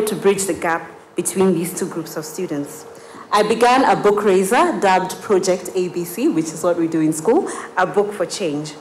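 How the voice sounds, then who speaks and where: A woman's voice: medium pitch, reverberant, slight background noise, poor audio. An eighteen-year-old woman from Kenya whose first language is Kiswahili, giving an earnest prepared speech at a gala dinner, with frequent uh fillers. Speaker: student speaker. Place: large banquet hall at a gala dinner.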